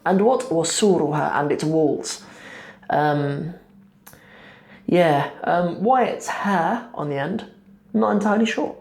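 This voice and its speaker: Young man explaining poetry, halting. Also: fairly high pitch, gravelly, a little mellow. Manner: thinking aloud, many ums and restarts. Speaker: Arabic teacher. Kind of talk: explaining a poem line by line. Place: small room indoors, seated at a desk.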